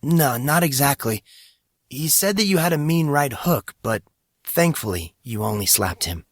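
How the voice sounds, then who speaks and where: Gentle, wry teenage boy, voiced by a man, raised pitch. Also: animated, measured pace, dry recording, clean studio sound. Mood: wry, teasing. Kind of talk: dramatised audiobook dialogue.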